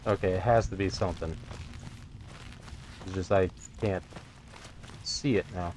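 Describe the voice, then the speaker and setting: Casting: male let's player, lowish voice, casual and low-key, thinking out loud over audible game noise. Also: steady tone, a little slow. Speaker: gamer doing a let's play. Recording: game sounds and noise behind.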